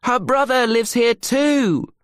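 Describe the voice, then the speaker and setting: A man voicing a drunk, giggly young woman student yelling excitedly in an audiobook. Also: very high voice, slow, smooth, dry recording, clean studio sound, pitched above the performer's own voice. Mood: drunken excitement. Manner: whooping, yelling over noise.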